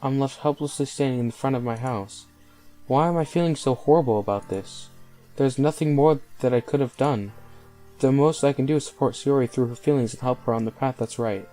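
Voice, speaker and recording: Mellow-voiced man reading a game aloud. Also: medium pitch, a little fast, faint music behind. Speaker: let's play host. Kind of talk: reading visual novel text aloud.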